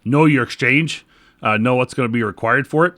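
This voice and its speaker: A man's voice: mid-range voice, fairly quick, fairly bright tone, no room sound, clean studio sound. A man hosting a solo podcast, talking in an informal, rambling, conversational way. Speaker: podcast host. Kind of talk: casual solo podcast talk.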